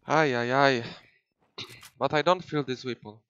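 A man's voice: mid-range voice, measured pace, a bit bright, clear, resonant tone, dry recording, highs cut off. A young man from Poland with a Polish accent, casually commenting on his gameplay live from a home room into a headset mic, with many fillers. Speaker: gaming streamer.

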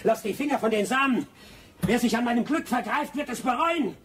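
Bitter adventurer, widely swinging voice. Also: male voice, extremely high pitch, expressive, brisk, fairly bright tone, slight background noise. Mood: bitter anger. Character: bitter, vengeful adventurer back from the jungle. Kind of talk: German radio drama acting.

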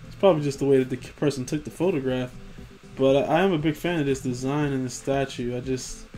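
A man's voice: mid-range voice, fast, dark tone, soft background music, low-quality recording. A young man rambling casually about collectible figures, profane and tired after work, tripping over names. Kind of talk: casual commentary over screen images. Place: home room.

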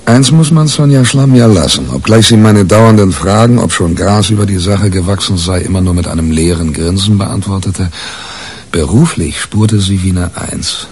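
An actor playing a wry, wary tabloid reporter in a German radio crime drama, colloquial and sardonic. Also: male voice, low voice, normal speed, a little mellow. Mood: wry narrating. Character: wry, wary, deal-making tabloid newspaper reporter, male. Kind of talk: 1980s German radio crime drama, dialogue and first-person narration.

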